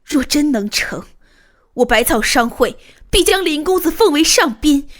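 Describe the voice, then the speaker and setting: A woman playing a polite, humble young merchant's daughter with formal, old-fashioned manners in an animated fantasy drama. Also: sits high, a little slow. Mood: earnest, grateful. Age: young adult.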